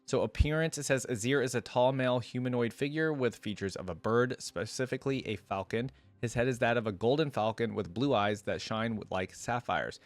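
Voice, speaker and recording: A man's voice: mid-range voice, brisk, hardly any pauses, dry recording. A man giving informal, rambling and opinionated commentary in a casual reaction video. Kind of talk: casual reaction commentary, partly reading aloud.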